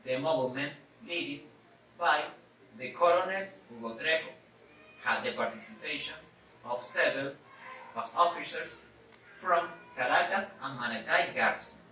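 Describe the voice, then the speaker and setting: Bright-voiced man reading a presentation, echoey. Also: fairly high pitch. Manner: halting, careful reading in choppy phrases. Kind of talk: scripted history presentation read aloud. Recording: echoey, distant mic, background noise.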